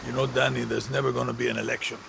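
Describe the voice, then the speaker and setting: An actor playing a sly, swaggering guerrilla spymaster with a gravelly voice in a video game. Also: male voice, medium pitch, little pitch movement, rapid-fire, noisy background. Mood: wry, knowing. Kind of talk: video game cinematic acting. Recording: music behind the voice.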